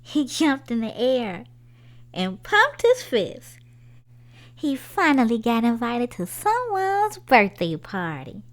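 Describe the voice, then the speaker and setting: A woman reading a children's picture book aloud in an expressive storytime style, putting questions to young listeners. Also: on the high side, slow pace.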